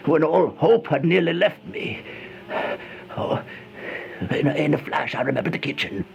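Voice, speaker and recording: Terrified, stammering horror narrator, creaky voice. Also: male voice, on the high side, moderate pace. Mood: desperate, then feverish, paranoid. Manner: stammering, gasping, overwrought, melodramatic delivery. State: panting, out of breath. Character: terrified, feverish man trapped with monstrous flies, horror narrator. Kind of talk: old-time radio horror monologue. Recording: dull, noisy old radio recording.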